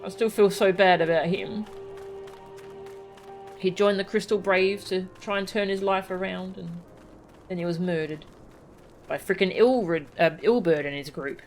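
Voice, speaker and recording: A woman's voice: medium pitch, a little slow, pauses often, soft background music. A woman giving casual commentary on a game livestream. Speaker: game streamer.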